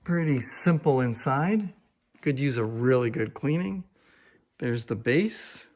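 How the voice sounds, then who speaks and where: A man's voice: mid-range voice, lively intonation, slow pace, darkish tone. A man giving a casual, unscripted show-and-tell in a conversational way, musing aloud as he presents antiques. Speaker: presenter of antique phonograph demonstrations. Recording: very echoey, reverberant room.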